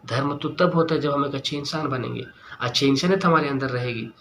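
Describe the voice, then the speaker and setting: A man's voice: medium pitch, quick pace, a bit bright, slight background noise. A man giving an earnest opinion monologue to viewers as a vlogger, speaking emphatically and repeating key words and phrases.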